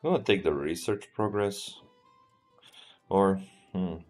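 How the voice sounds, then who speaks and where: A man with a Serbian accent, a streamer thinking aloud as he plays a strategy game in a relaxed, halting way and answering chat. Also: low-pitched, soft background music. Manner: relaxed, thinking aloud, often trails off and restarts. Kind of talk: live gameplay commentary.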